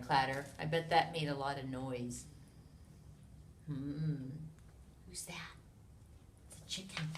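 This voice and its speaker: An American woman reads a picture book aloud to young children in an expressive way, with sound effects, questions and hushed asides to her listeners. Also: lowish voice. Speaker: children's read-along reader. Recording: roomy, distant mic with noise.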